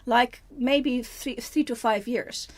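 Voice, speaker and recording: A Dutch woman with a fairly high voice, speaking English as a second language. She co-hosts a film review in a relaxed back-and-forth, often restarting her sentences as she works out a point. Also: takes it slowly. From Netherlands. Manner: thinks aloud with frequent restarts. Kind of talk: casual chat.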